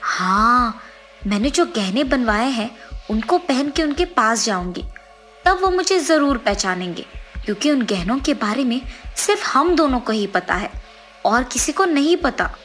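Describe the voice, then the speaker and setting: A remorseful ghost wife played by a woman storyteller in a cartoon moral tale. The voice is high and unhurried, earnest and pleading, with anxious politeness over a clear music bed. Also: expressive, a bit bright. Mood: sorrowful, resolved.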